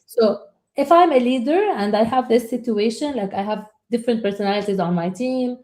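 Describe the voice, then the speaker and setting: A woman co-hosting a webinar, talking casually and haltingly, with frequent restarts and repeated words. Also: fairly high pitch, darkish tone. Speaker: webinar co-host.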